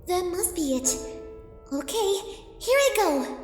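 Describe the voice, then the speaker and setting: A woman's voice: very high-pitched, very animated, slow, faint music behind, heavily acted. A woman voicing a timid, sweet female cartoon pony in an audio drama. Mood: hesitant. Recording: echoey reverb.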